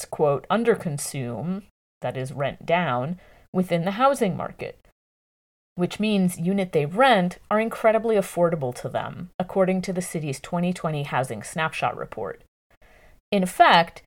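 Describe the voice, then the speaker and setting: A woman reading a scripted news article aloud in a measured, journalistic way with clear enunciation. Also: fairly low pitch, a little mellow.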